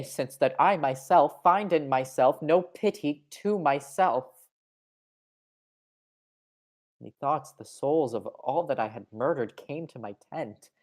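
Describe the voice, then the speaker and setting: A woman's voice: low-pitched, a little mellow, heavily acted. A young woman playing a murderous, guilt-haunted king in a Shakespearean radio play, with theatrical, declamatory delivery. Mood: anguished, fearful. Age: young adult. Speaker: acting student.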